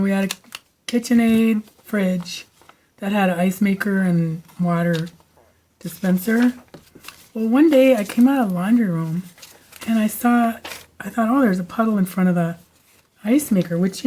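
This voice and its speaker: A woman hosting a crafting channel, talking casually at home with a raspy voice, rambling from story to story with lots of fillers and no microphone on. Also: mid-range voice, mellow, a little hiss or hum, poor audio. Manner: chatty, digressive, lots of fillers. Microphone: no microphone, camera mic.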